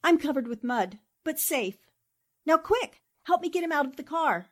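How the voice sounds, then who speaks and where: A woman acting a plucky, quick-witted newspaperwoman in a 1940s-style radio drama, earnest and emphatic. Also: high voice, animated, a bit bright. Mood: urgent, concerned.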